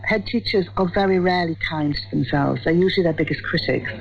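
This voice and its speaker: An older woman with a husky voice, giving reflective, emphatic answers as a radio interview guest. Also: lowish voice, fast, over music. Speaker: retired head teacher, interview guest. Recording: dull lo-fi radio recording.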